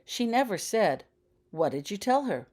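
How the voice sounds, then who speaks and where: A woman voicing a shy schoolgirl witch in an audiobook, hesitant and earnest, eager yet quick to defend herself. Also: medium pitch, sing-song, darkish tone, pitched above the performer's own voice. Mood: thoughtful, earnest. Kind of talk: audiobook character dialogue.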